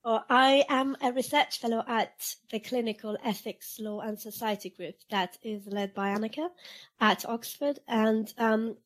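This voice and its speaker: A woman's voice: a little high, measured pace. A woman research fellow, a podcast guest with a cold, speaking carefully and thoughtfully. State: has a cold.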